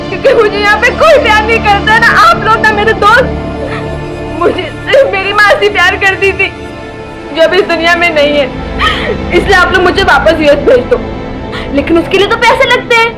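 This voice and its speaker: A young woman with an Indian accent acting as a bitter, distraught daughter making a defiant confession in a TV drama. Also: very high-pitched.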